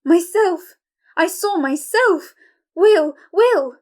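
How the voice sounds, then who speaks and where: Actress as high-voiced, frightened, defiant young wife. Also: female voice, expressive, slow pace, a little mellow, heavily acted. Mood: desperate. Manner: declamatory, poetic.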